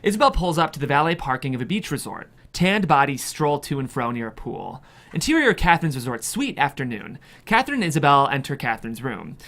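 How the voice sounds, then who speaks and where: A narrator reads screenplay stage directions aloud. The delivery is measured and expressive, with lively, wide-ranging intonation. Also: mid-range voice. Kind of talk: scripted narration of a screenplay at a table read.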